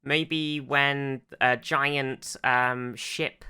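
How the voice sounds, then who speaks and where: A podcast co-host in casual discussion, explaining things haltingly, circling back and swearing freely. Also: medium pitch, fairly even intonation, pushed and bright, clean tone.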